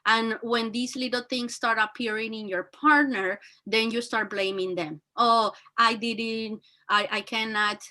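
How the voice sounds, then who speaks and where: A woman with a very high, bright and forceful voice talks earnestly, her full of fillers and restarts. She speaks as a teacher and guest, giving motivational advice. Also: fairly even intonation, a little slow, hardly any pauses. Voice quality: very high-pitched voice. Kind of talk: motivational talk.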